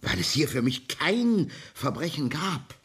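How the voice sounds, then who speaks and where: A man's voice: on the high side, sing-song, measured pace, background noise. An actor playing an elderly, stern, guilt-ridden grandfather and judge in a radio drama, speaking with righteous insistence. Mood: agitated. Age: older. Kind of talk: German radio drama acting.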